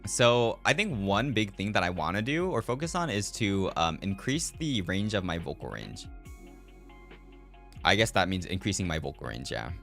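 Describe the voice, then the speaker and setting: A man's voice: low voice, sing-song, moderate pace, vocal fry, fairly bright tone. A man who streams as a virtual character, chatting casually to live viewers in a rambling, reflective way and often repeating his own phrases. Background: background music.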